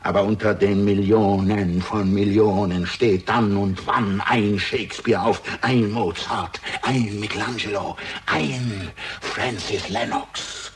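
A man's voice: lowish voice, steady tone, takes it slowly, hardly any pauses, background noise. An actor playing an arrogant, gloating older murderer and proud father in a theatrical German radio crime drama, sneering and grandiose. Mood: grandiose, fervent. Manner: theatrical, grandiose, sneering, swinging between murmur and outburst.

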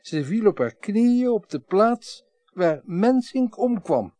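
Radio play role: parliamentary reporter, high-pitched, observant, confiding delivery. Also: male voice, sing-song, slow pace, mellow, heavily acted. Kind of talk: Dutch radio drama acting.

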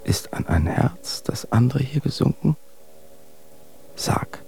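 Halting, anguished Romantic poet, swooping and whispering. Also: male voice, mid-range voice, big pitch swings, gravelly, a little mellow, soft background music, heavily acted. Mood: haunted, searching. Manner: halting, searching, breaking into whispers. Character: anguished Romantic poet groping through his own love verses. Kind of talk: radio drama verse recitation.

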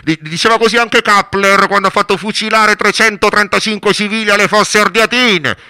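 A scornful, preachy moralist from a radio drama. The voice is high and piercingly sharp, with a gravelly creak. Each phrase is delivered as a sarcastic accusation aimed at a child. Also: male voice, steady tone, a little fast, dry recording, heavily acted. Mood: bitter sarcasm. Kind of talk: theatrical radio monologue acting.

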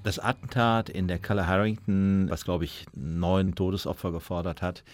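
Low-voiced man giving factual commentary. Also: steady tone, moderate pace. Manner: factual, explanatory. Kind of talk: explanatory documentary commentary.

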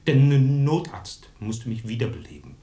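An actor playing a timid, wounded young outsider in a radio drama, speaking haltingly with a heavy stutter. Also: male voice, mid-range voice, very animated, mellow, echoey room, natural voice. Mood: hurt, matter-of-fact.

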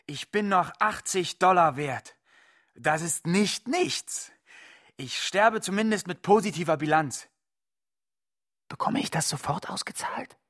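High-pitched, resigned doomed man. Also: expressive, a little slow, fairly bright tone, full character voice. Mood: resigned gratitude. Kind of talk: radio play acting.

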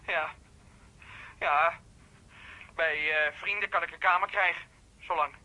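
A man's voice: a little high, steady tone, takes it slowly, sharp tone, exaggerated voice, poor audio. An actor playing a volatile, jealous young man in a radio play, agitated and stammering. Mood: calmer, hesitant. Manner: agitated, stammering, repeating himself. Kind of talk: naturalistic radio play acting.

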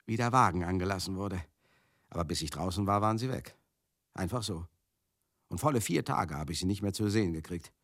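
An actor playing a rambling, excitable manager who reminisces in a confiding, theatrical monologue for a radio play. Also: male voice, on the low side, vocal fry, no echo, studio quality, full character voice. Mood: wistful. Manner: conversational, confiding, theatrical, halting and then bursting out. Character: rambling, excitable manager reminiscing wistfully about old glory days.

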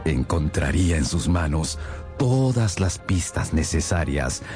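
A man's voice: rumbling low, sing-song, a little slow, darkish tone, faint music behind, full character voice. An actor playing a detective's loyal male companion, narrating the case in a radio drama with literary, storytelling phrasing.

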